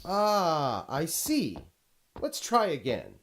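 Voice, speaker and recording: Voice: mid-range voice, huge pitch swings, drawn-out words, a little hiss or hum, full character voice. An actor playing a pompous, exasperated video game narrator who scolds the player in a dramatic, haughty, petulant way. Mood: weary disbelief. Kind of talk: theatrical scripted game narration.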